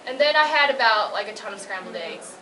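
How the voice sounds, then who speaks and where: A woman's voice: mid-range voice, animated, fairly quick, lightly acted. A woman as prickly polite teen anime boy, echoey. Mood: earnest. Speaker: cosplay panelist. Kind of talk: improvised in-character panel banter. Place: convention panel room with audience. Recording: echoey noisy convention room, far from mic.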